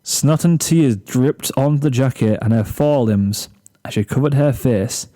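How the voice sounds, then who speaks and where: A man's voice: medium pitch, normal speed, mellow. A man reading a comic story aloud as scripted narration.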